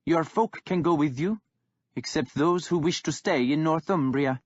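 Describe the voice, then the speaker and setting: A man's voice: fairly high pitch, normal speed, muffled recording, voice pushed up, close to an everyday voice. A man voicing a young, earnest king. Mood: insistent. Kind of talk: audiobook character voice.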